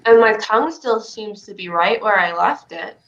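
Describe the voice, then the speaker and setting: A woman's voice: medium pitch, expressive, normal speed, creaky, a bit bright, muffled recording. A woman playing a stubborn, dreamy child who plays astronaut, in a staged play reading. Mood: dreamy, musing.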